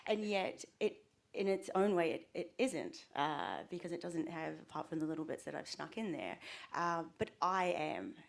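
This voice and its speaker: A woman's voice: low voice, very animated, moderate pace, creaky. A woman with a New Zealand accent, speaking as an author on a panel, candid and self-deprecating. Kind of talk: panel discussion.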